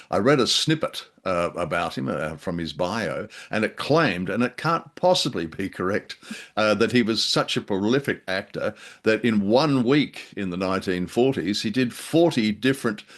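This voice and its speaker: A man co-hosting a podcast, chatting casually about old radio drama in a wry, talkative manner. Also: fairly low pitch. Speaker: podcast co-host.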